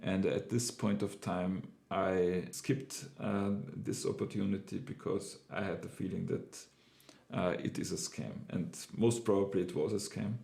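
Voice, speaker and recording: A man's voice: low voice, flat delivery, unhurried, gravelly, mellow. A middle-aged man with a German accent telling a personal business story in a casual, hesitant way, with many fillers and restarts. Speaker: entrepreneur sharing his experience.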